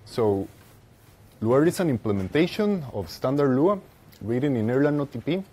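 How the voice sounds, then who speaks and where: A young man with a Costa Rican Spanish accent giving a technical presentation as a conference speaker, haltingly, with pauses mid-phrase. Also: medium pitch, big pitch swings, dark tone. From Costa Rica. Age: young adult. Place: lecture room with seated audience, indoors.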